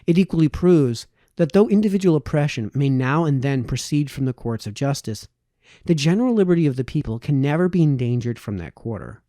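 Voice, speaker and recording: A man reading a formal historical text aloud in a measured way with emphatic phrasing. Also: a little high, expressive, warm tone, no room sound, studio quality. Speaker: reader, narrator.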